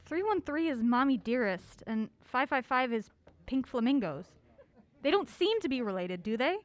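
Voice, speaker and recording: Feisty young female sleuth played by an actress, high swooping voice, brisk and sardonic, impatient, audio drama. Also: big pitch swings. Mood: intent. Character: feisty, quick-witted young woman, impatient amateur sleuth.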